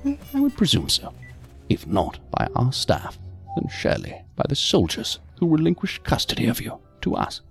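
An actor playing a calm, evasive male psychiatrist in an audio drama, speaking in a measured, formal, unctuous manner. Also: medium pitch, gravelly, faint music behind. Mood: evasive, controlling.

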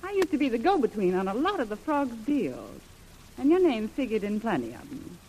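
A brassy, hard-boiled dame from an old radio adventure. Her speech is slangy and wheedling, with a very lively swing in pitch. There is faint noise from the old broadcast recording. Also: brisk, rough recording. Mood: wheedling, leaning on him. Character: brassy, hard-boiled woman undercover as saloon hostess.

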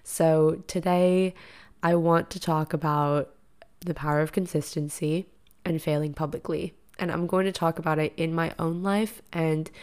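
Young woman, podcast host, lowish pitch, freshly woken raspy voice, chatty and rambling, living room. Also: darkish tone. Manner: chatty, rambling, filler-heavy. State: just woken up, voice a little raspy and deeper. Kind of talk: casual solo podcast monologue.

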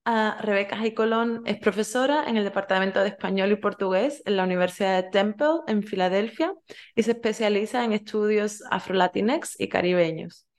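A woman hosts an interview in a medium-pitched voice. She introduces her guest and asks questions. She often hesitates and pauses with tag questions. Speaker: podcast host.

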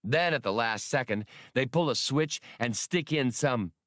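A man voicing a brash, cocky male starship captain who talks fast and excitably. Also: mid-range voice, no echo, clean studio sound, pitched above the performer's own voice. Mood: excited.

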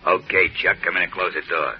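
A gruff masked gunman, as an actor plays him in an old radio play, low and threatening. The recording is dull and lo-fi, like an old broadcast transfer. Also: level tone, fast talker, faint music behind, natural voice. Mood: menacing. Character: tough masked male gunman, menacing. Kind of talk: 1940s radio acting.

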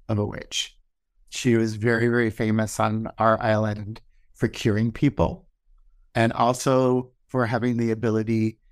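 Male podcast guest from Hawaii, low and even-toned, dry close recording, relaxed storyteller. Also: dry recording. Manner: conversational, measured, with occasional ums. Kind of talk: personal storytelling.

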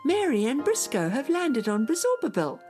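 A woman playing an animated storyteller, narrating a children's space radio play with dramatic scripted delivery. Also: high voice, normal speed, faint music behind. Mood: puzzled, dramatic. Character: animated storyteller addressing children on another planet.

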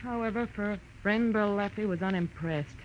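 A woman's voice: mid-range voice, normal speed, natural voice. A woman playing a sarcastic, tough gangster's girlfriend with snappy, slangy delivery in 1940s radio noir style. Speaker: radio drama actress. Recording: muffled old radio broadcast.

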